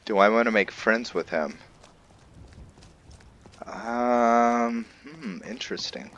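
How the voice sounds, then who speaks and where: A man's voice: medium pitch, takes it slowly, a bit bright. A man doing casual let's-play roleplay commentary, musing to himself a little hesitantly over game ambience. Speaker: gaming video host and player.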